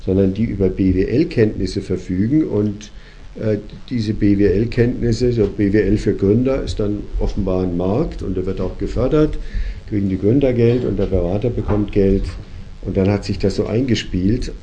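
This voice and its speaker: A man hosting a conversation, a company founder who lectures reflectively and argues his points with many pauses and fillers. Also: lowish voice, mellow, highs cut off, a little hiss or hum. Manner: reflective, argumentative, hesitant with fillers.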